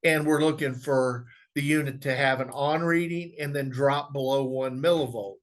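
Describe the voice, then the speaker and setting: A man presenting an instructional software walkthrough, explaining step by step in a conversational way. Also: mid-range voice, moderate pace. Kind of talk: instructional screen walkthrough, informal webinar.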